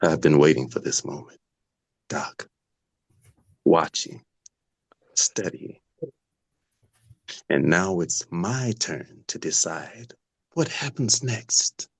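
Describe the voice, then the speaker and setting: A man playing a vengeful, grieving patient in a dramatic table read, slow and taunting with sudden drops toward a whisper. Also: deep voice, sing-song, no room sound, full character voice. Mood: gloating menace. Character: menacing, vengeful male patient grieving his twin sister.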